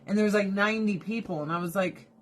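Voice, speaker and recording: A woman live streaming to her viewers in casual chat, blunt and profane, animated and laughing often, close to her phone camera. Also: mid-range voice, fast, a little hiss or hum. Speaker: direct sales rep live streaming. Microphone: phone or camera held close.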